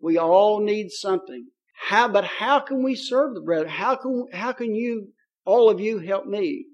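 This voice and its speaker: A man's voice: high voice, animated, measured pace, lo-fi sound. A man with a Kentucky accent preaching a sermon in a folksy, joking way, with many fillers. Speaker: pastor.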